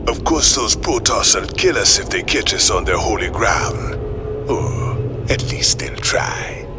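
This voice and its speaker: An actor playing a cool, secretive mercenary assassin in a video game, with a sly, breathy drawl. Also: male voice, animated, unhurried. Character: cool, secretive mercenary ghost-assassin, a mystic male rogue. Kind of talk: video game cutscene acting. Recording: music and engine rumble behind.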